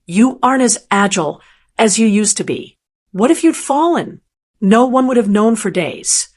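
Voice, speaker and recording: A woman voicing a scheming daughter-in-law with a bright, polished, hollow tone and sugary fake concern. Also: a little high, lively intonation, normal speed.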